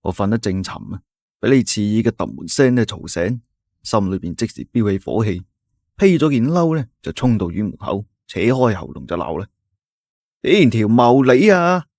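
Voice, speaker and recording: Lively low-voiced Cantonese male storyteller. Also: moderate pace, dry recording, clean studio sound. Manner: expressive, dramatic storytelling. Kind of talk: scripted first-person story narration.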